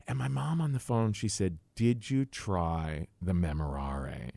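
An American man from Cincinnati telling personal stories in conversation as a guest, with dramatic delivery and emphatic pauses. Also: deep voice, gravelly, warm tone, no echo. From Cincinnati, United States. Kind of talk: conversational storytelling.

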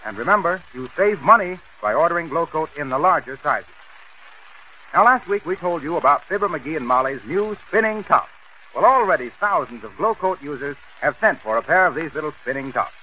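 Bright-voiced American 1930s radio announcer man. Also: fairly high pitch, lively intonation, a little fast. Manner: polished, salesmanlike delivery. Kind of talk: 1930s radio announcing, scripted ad and scene-setting. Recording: muffled, noisy 1930s radio recording.

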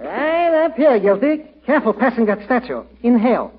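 High-pitched Yiddish-accented salesman. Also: sing-song, normal speed, a little hiss or hum. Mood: pitching. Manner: wheedling, comic sales patter. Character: wheedling, wisecracking male furniture-store salesman. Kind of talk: 1940s radio comedy acting. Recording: dull old radio recording.

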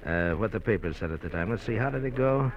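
An actor playing a sly, menacing gangster in an old radio crime drama, taunting with false friendliness. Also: male voice, fairly low pitch, animated, fast, close to an everyday voice. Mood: smug, taunting. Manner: taunting, falsely friendly, chuckling between threats. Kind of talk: 1940s-50s radio crime drama acting. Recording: muffled, noisy old radio recording.

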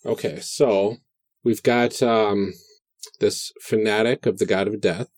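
Male podcast player, unhurried and halting, slightly deep, casual roleplaying-game talk. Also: fairly even intonation, a little mellow, dry recording. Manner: hesitant, pausing between phrases. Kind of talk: casual chat during tabletop game character creation.

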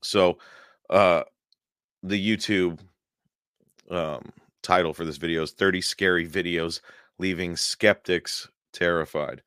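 A hoarse man hosting a paranormal podcast livestream, chatting casually and rambling with many fillers and chuckles. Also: low voice, steady tone, takes it slowly, pauses often, fairly bright tone, no room sound, studio quality. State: hoarse, losing his voice.

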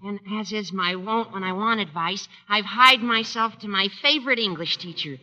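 An actor playing an eager, bouncy teenage schoolboy with a squeaky adolescent voice in a 1940s radio sitcom. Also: male voice, normal speed, pushed and bright. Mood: earnest. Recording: dull, reverberant vintage radio recording.

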